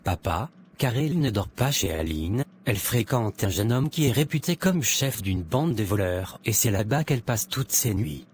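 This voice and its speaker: An actor playing a worried teenage son in an animated drama, speaking earnestly and apologetically. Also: male voice, lowish voice, normal speed, hardly any pauses, slight background noise, natural voice.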